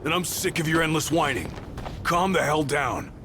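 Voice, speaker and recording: An actor playing a proud, protective royal bodyguard in a video game, gruff, blunt and commanding. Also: male voice, moderate pace, noisy background, lightly acted. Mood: exasperated. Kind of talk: dramatic video game voice acting. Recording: loud rumbling effects behind the voice.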